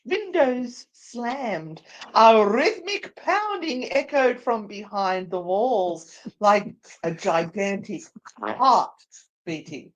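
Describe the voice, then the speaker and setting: A woman's voice: mid-range voice, lively intonation, slow, low-quality recording. A woman co-hosting and narrating a live dramatic story reading, playful and theatrical, slipping into chatty banter. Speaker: co-host and narrator.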